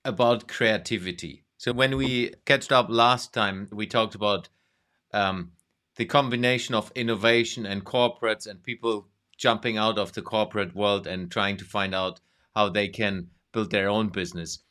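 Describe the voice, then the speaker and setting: A man's voice: fairly low pitch, fairly even intonation, moderate pace, sharp tone, smooth. A man hosting a podcast interview over a video call, asking questions in a friendly way and adding short affirmations. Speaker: podcast host.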